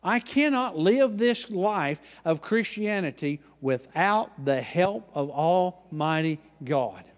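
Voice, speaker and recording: A man with an Oklahoma accent preaching a sermon in a church, with a folksy manner, dropping low and quiet and then rising loud. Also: animated, slow, hardly any pauses, in a large hall. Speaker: pastor.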